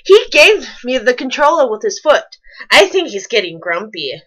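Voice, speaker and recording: A woman's voice: fairly high pitch, big pitch swings, moderate pace, fairly bright tone. A woman reading a story aloud and commenting on it with wry, nitpicking asides. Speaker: fan fiction reader and commentator.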